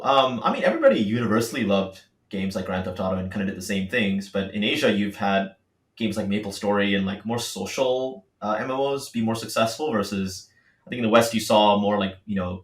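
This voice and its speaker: A man's voice: fairly low pitch, brisk, echoey room. A man from Singapore, a startup co-founder, talking casually and animatedly on a podcast with many fillers.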